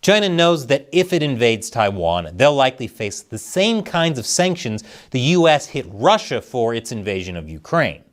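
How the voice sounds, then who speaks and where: A man's voice: mid-range voice, big pitch swings, moderate pace, hardly any pauses. A man hosting a scripted satirical news show, delivering commentary in a sarcastic, mock-serious manner with dry punchlines.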